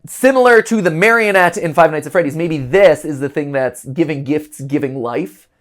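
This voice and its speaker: A man hosting a casual reaction chat, animated and jokey, now and then breaking into silly voices. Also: fairly high pitch, moderate pace. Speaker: host.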